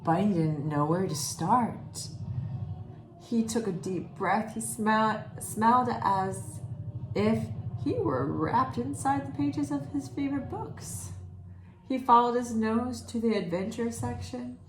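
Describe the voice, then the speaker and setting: A woman reading a children's picture book aloud expressively, pausing to comment and put questions to her listeners. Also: on the high side. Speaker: read-aloud storyteller. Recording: roomy echo, faint background noise.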